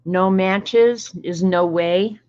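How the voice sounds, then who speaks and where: A woman's voice: mid-range voice, fairly even intonation, drawn-out words, darkish tone. A woman in her sixties chatting casually on a livestream as she opens mail, thinking aloud and laughing often. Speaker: streamer opening mail on stream. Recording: slightly dull lo-fi stream audio.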